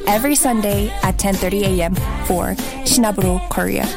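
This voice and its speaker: A woman's voice: medium pitch, fairly quick. Woman radio host, half-sung promo over music.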